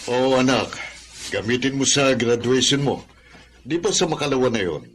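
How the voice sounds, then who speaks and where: A man's voice: medium pitch, animated, normal speed, a little hiss or hum, natural voice. A Filipino man playing a proud, remorseful older father in a radio drama, speaking in a heartfelt, fatherly way.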